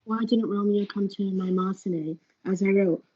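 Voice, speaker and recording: A woman's voice: mid-range voice, fairly even intonation, normal speed, mellow, slightly rough, natural voice. A female student playing an anxious, fearful male friar in a school reader's theatre performance. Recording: dull lo-fi audio.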